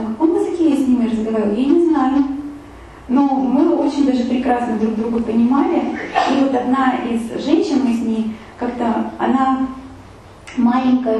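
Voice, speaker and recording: A woman's voice: fairly high pitch, dark tone, a little hiss or hum. A woman telling a travel story to an audience in a casual, chatty way, with many fillers and restarts. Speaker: speaker giving a travel talk with photos. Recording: echoey room, from far off.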